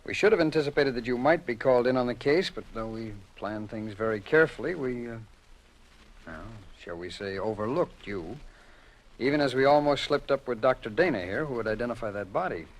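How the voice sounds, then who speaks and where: A man acting a measured, courteous American federal agent in a 1950s radio drama, speaking formally with deliberate, discreet pauses. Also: mid-range voice, expressive, natural voice, rough recording. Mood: measured, dry. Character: measured, courteous male federal agent explaining a secret operation.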